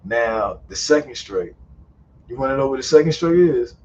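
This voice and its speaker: A man's voice: mid-range voice, expressive, normal speed, a little mellow. A man hosting a live stream who talks in a loose, profane, storytelling way and laughs often. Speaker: YouTuber and stream host. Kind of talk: casual chat on a live stream. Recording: muffled sound with faint ambient noise.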